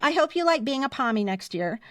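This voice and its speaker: A woman's voice: sits high, very animated, fairly quick, a bit bright, dry recording, clean studio sound. A woman in her fifties with an American accent, telling personal stories casually and emphatically as a podcast co-host. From United States. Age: 50s.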